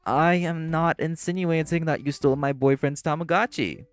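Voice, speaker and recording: A man playing a prim, exasperated waiter in a scripted audio roleplay, speaking formally with deadpan, condescending patience. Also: fairly high pitch, moderate pace, faint music behind, natural voice. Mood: dry sarcasm. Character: prim, exasperated, sarcastic young waiter boyfriend. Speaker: voice actor.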